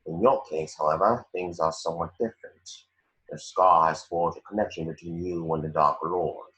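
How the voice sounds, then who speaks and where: A man acts out a disdainful wizard professor in a fantasy script read. His voice sits very low, and his speech is formal, curt and condescending, cold through and through. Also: fairly even intonation, moderate pace, fairly bright tone, poor audio. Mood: stern lecturing.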